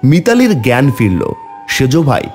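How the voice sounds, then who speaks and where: A man's voice: mid-range voice, huge pitch swings, normal speed, darkish tone, soft background music. A man narrating a scripted folk tale in a dramatic storytelling style.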